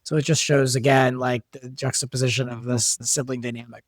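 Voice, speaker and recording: A man's voice: mid-range voice, flat delivery, fairly quick, no room sound, studio quality. A man talking casually in an interview as a show's creator and producer. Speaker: show creator and executive producer, podcast guest.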